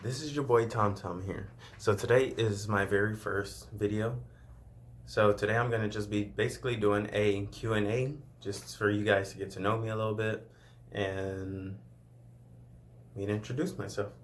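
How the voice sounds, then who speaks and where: Young man from Louisiana, late twenties, low-pitched, chatty and loose, laughing between answers, camera-mic vlog. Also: fairly even intonation, normal speed, a little hiss or hum. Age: 20s. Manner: chatty, laughs often, rambling asides. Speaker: vlogger. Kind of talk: casual first-video Q&A.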